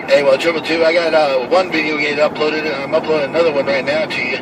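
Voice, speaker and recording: A man's voice: on the high side, level tone, fast talker. An American man bantering casually as a CB radio operator, joking and repeating his phrases, in a vehicle. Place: inside a vehicle. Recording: loud radio and vehicle noise, distant mic.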